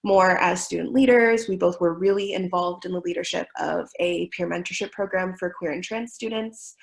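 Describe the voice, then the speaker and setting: Creaky-voiced young woman presenting a webinar. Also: lowish voice. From Miami, United States. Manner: warm, thoughtful, with frequent fillers.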